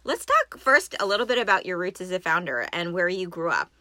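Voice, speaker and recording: A woman's voice: fairly low pitch, sing-song, a little fast, bright, forceful tone, no room sound, clean studio sound. A woman speaking as a podcast host, introducing and interviewing a guest in a prepared style.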